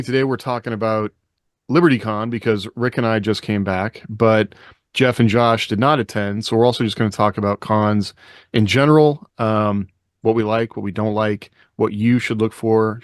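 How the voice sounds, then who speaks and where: A man's voice: lowish voice, fairly even intonation, normal speed, no room sound, clean studio sound. A man hosting a podcast in a casual, chatty panel style. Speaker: podcast host.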